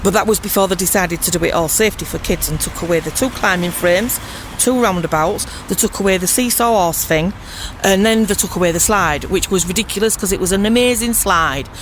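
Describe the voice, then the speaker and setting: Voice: mid-range voice, a little fast, a bit bright, low-quality recording. A person reminiscing casually in a chatty, mildly indignant manner. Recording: faint outdoor traffic noise.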